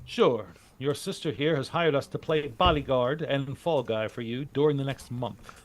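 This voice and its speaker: An actor playing a cool, shrewd adventurer who leads a team of bodyguards in a vintage radio drama, decisive and wry. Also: male voice, medium pitch, moderate pace, close to an everyday voice. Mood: wry, assured.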